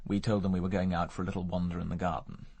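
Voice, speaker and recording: A man playing a suave, sardonic gentleman suspect in a radio drama, with dry, ironic formality. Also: low voice, little pitch movement, rapid-fire, gravelly, slightly rough, exaggerated voice. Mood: matter-of-fact. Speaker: actor in a radio detective drama.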